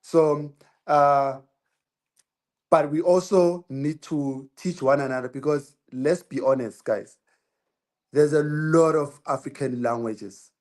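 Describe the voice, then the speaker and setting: Slow, hesitant man on a panel. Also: fairly high pitch, darkish tone. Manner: hesitant, many fillers, thoughtful. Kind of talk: casual panel discussion.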